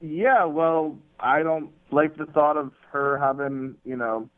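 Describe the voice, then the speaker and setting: A man's voice: fairly high pitch, slow pace, a crowd in the background. A man talking casually as a guest on a phone call, hesitant and a bit sardonic. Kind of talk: casual conversation. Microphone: telephone. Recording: dull, band-limited phone line.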